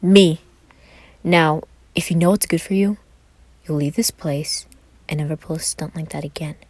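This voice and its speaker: A woman voicing a giant, menacing man who speaks quietly and gravelly in an audio story. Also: sits low, fairly even intonation, normal speed, no room sound. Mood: quiet menace. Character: giant menacing dark-clad man, secretly soft-hearted rescuer.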